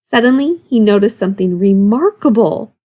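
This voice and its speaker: A woman's voice: mid-range voice, animated, moderate pace, dark tone. A woman speaking as a primary teacher, reading a children's story expressively and putting questions to young pupils. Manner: instructive, questioning asides to children. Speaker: second-grade teacher.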